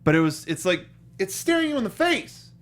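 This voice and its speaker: A man's voice: high voice, big pitch swings, a little fast, fairly bright tone. A man co-hosting a casual film review chat, acting out reactions in quoted asides. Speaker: co-host of a film review show.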